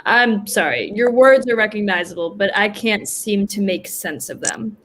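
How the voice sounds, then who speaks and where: A woman playing Death as a formal, dutiful, stage-struck figure in a comic play reading, with a measured, literal way of talking. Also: medium pitch, animated, a bit bright, close to an everyday voice. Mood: politely skeptical. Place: remote virtual reading. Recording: noisy online video call audio.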